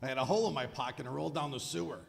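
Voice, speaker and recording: A man playing a cheeky, wisecracking valet with a gravelly voice and slyly innocent delivery in old-time radio comedy. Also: medium pitch, rapid-fire, a little hiss or hum. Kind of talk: old-time radio comedy acting, live stage revue. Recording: echoey hall, live stage.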